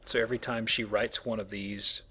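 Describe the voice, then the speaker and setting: A man's voice: lowish voice, little pitch movement, brisk, sharp tone, breathy edge. A man giving casual, goofy let's play commentary, rambling along as he plays. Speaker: let's play host, playing the game. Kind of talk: casual chat commentary over gameplay.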